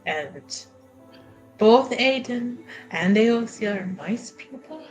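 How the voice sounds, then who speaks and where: A woman's voice: fairly high pitch, expressive, takes it slowly, soft background music, rough recording. A woman playing a tabletop roleplaying game, talking casually and narrating her character's actions.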